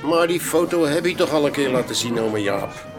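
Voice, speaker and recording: An actor playing a plain-spoken, firm younger pub landlord in a Dutch radio play. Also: male voice, on the high side, animated, moderate pace, over music, natural voice. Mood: puzzled. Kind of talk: Dutch radio drama acting.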